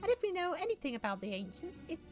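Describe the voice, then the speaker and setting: A woman voicing a formal, grave, learned character from a fantasy game, in a high put-on voice with clipped, measured lines. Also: very animated, soft background music. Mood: earnest. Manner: clipped formal delivery in a much higher put-on voice. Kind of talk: voicing game dialogue live.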